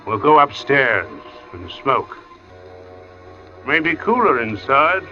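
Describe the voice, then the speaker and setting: An actor playing a plain-spoken, intense, quietly menacing workman, talking in clipped, folksy phrases in 1940s radio suspense style. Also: mid-range voice, sing-song, slow pace, soft background music, heavily acted. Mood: intense, insistent. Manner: clipped, folksy, matter-of-fact. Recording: dull old radio recording.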